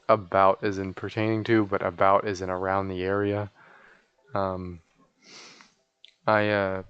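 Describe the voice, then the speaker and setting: A man live-streams a game in a low, clean and smooth voice that often drops into fry. He sounds sleepy and chats in a loose, rambling way with folksy turns of phrase. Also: lo-fi sound. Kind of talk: casual chat while streaming a game.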